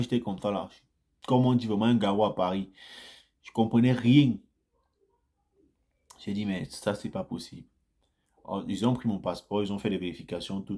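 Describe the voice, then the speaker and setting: A man with a fairly low voice talks to his viewers in a loose, chatty way. He repeats phrases for emphasis and livens up as his stories build. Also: slightly rough. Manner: chatty, repeats phrases, grows animated in anecdotes. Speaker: vlogger sharing immigrant experience. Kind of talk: casual first-person storytelling to viewers.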